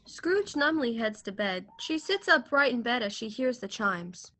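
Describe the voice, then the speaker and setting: High-voiced woman narrating a radio play. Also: very animated, unhurried, pushed and bright. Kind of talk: scripted radio play narration of stage directions. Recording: faint music, home video-call recording.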